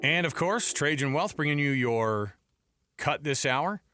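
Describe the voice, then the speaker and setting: A man's voice: fairly high pitch, expressive, measured pace, music in the background. A man hosting a casual sports talk show from a studio, speaking in an emphatic, exclamatory, conversational way. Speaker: sports radio host. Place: radio studio. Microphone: close studio microphone.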